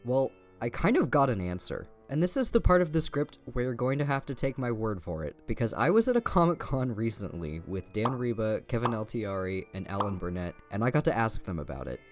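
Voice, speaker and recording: A man's voice: medium pitch, sing-song, fairly quick, hardly any pauses, faint music behind. A man narrating a scripted video essay in an informal, opinionated way, with casual asides and the odd swear word.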